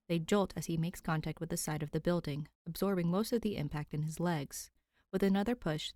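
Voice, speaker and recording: Low-pitched woman narrating a story. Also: darkish tone, no room sound. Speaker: podfic narrator.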